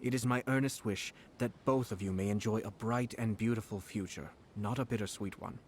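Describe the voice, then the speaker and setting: A man playing a composed, courteous young nobleman in a video game, speaking formally and diplomatically in measured phrases. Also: on the low side, slight background noise, close to an everyday voice. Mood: earnest, courteous. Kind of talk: scripted video game voice acting.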